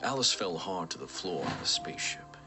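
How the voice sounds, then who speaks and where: A man's voice: low voice, big pitch swings, brisk, loud music behind the voice. A man delivering scripted story narration as the narrator of an audio drama.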